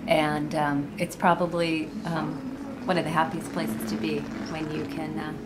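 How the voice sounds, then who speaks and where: A woman in her forties, a painter, speaking in a soft, reflective way. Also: sits low, flat delivery, measured pace, very noisy. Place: gallery, indoors. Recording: loud crowd behind.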